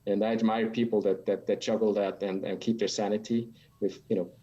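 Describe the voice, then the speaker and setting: A middle-aged man from Switzerland answering casually as an interview guest, halting and repeating words as he thinks. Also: on the low side, level tone, mellow. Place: home office that doubles as playroom. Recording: dull, lo-fi video call audio.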